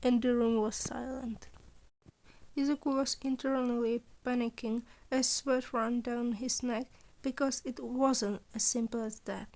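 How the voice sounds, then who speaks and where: A woman's voice: a little high, steady tone, measured pace, darkish tone, dry recording. A woman reading scripted fan fiction aloud as the narrator of an audio story. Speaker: podfic narrator.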